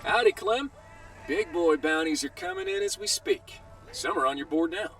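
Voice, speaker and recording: An actor playing a folksy bounty dispatcher calling in by radio in a video game, breezy and animated. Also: male voice, high voice, moderate pace, gravelly, far from the mic, over music, exaggerated voice.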